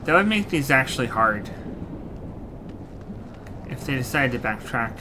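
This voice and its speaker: A man's voice: mid-range voice, sing-song, a little slow, background noise. A man giving casual, playful playthrough commentary as a game streamer, sometimes in cutesy phrasing. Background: game music.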